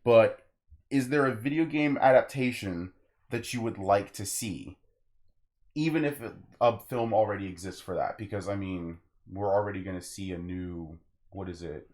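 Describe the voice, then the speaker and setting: A man's voice: lowish voice, moderate pace, a little mellow. Young man hosting a casual podcast. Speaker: podcast host. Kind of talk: casual opinionated gaming podcast discussion.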